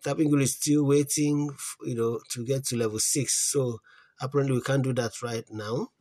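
A man's voice: mid-range voice, steady tone, moderate pace, warm tone. A man hosting a casual app tutorial, thinking aloud as he searches menus, trailing off and restarting, with earnest repeated advice to his viewers. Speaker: crypto app tutorial host. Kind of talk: casual screen-recorded walkthrough. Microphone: voice-over on a phone screen recording.

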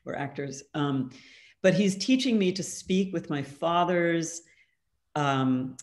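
A woman's voice: deep voice, animated, takes it slowly. A woman from California with a West Coast American accent, explaining her artworks in a conversation and often laughing between phrases. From California, USA. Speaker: artist guest. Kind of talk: conversational art talk.

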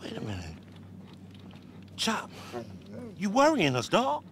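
A loud cocky video game hustler, high pitched man, slangy wisecracks, faint noise behind. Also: huge pitch swings, slow pace, heavily acted. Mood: amused. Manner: boastful, slangy, teasing. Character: brash, loud, wisecracking male street hustler. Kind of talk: video game cutscene acting.

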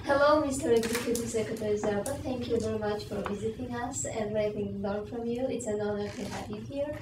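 A woman's voice: fairly high pitch, fairly quick. Polite young woman from Belarus, distant mic. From Russia and Belarus. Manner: polite, hesitant. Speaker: student delegate asking a question. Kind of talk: formal audience question. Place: small conference room. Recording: echoey room, distant mic, clear noise.

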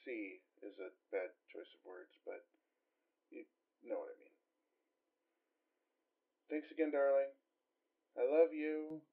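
A man playing a gentle, sheepish, affectionate headless horseman boyfriend in a soft-spoken ASMR roleplay, with hesitant delivery. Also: mid-range voice, lightly acted. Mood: affectionate, reassuring. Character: gentle, clumsy male dullahan boyfriend, centuries old. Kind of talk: ASMR roleplay, intimate acted monologue.

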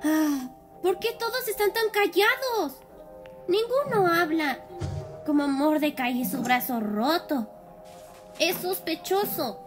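Little cartoon cat girl, very high voice, lively melody, inquisitive, Latin American Spanish, music behind. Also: slow pace, full character voice. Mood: curious. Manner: inquisitive, playful, blunt. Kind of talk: animated cartoon fan dub.

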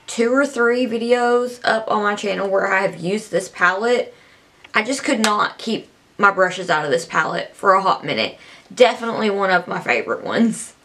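A woman's voice: mid-range voice, sharp tone. A young woman vlogging casually to camera from a small room, her voice scratchy from allergies, chatty and rambling. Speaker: beauty vlogger.

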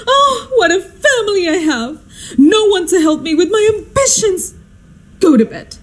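A woman's voice: extremely high pitch, animated, a little slow. A woman playing an imperious, easily outraged society hostess and mother in a radio drama, exclaiming and scolding.

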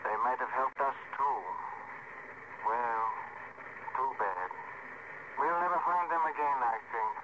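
An actor playing a wistful, gentle alien spaceman, measured and reflective, in a mid-century radio drama. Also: medium pitch, natural voice. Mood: wistful, regretful. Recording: very noisy, muffled vintage radio.

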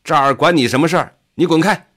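A man voicing a defiant, rowdy factory worker in an audiobook, loud and challenging. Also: fairly high pitch, quick pace, voice pushed up. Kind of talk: audiobook character voice.

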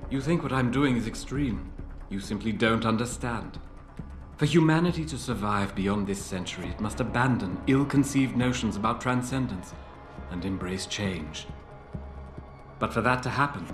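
Aging visionary inventor male actor, video game drama. Eloquent, deliberate, anguished oratory in a roomy space. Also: lowish voice, natural voice. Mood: cold, solemn. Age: older. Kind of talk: video game cutscene acting. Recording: roomy game audio with faint music.

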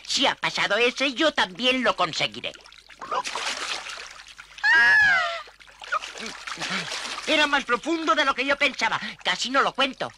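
A small, cocky cartoon bug in a very high, lively voice. He teases and mocks with a springy delivery. Clear watery noise runs behind him. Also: brisk. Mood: boastful. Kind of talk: cartoon dub acting.

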